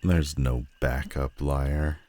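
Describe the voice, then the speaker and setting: A man playing a menacing, world-weary telepathic supervillain in an audio roleplay, theatrical and mocking, with deliberate dramatic pauses. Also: rumbling low, fairly even intonation, darkish tone. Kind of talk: scripted audio roleplay addressed to the listener.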